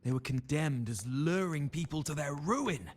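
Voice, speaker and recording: High, swooping, prying gentleman gossip. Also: lively intonation, moderate pace, husky. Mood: prying, insinuating. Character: inquisitive, gossiping gentleman probing with rumors. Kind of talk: dramatic video game voice acting. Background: background music.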